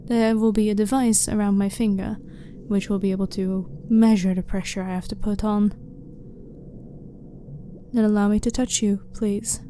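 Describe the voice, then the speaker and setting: A woman playing a blunt, clinical but harmless alien scientist in an ASMR sci-fi roleplay, speaking in a formal, measured, matter-of-fact way. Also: medium pitch, flat delivery, dark tone, close to an everyday voice. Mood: calm, polite. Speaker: ASMR voice performer. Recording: clearly noisy ambient background.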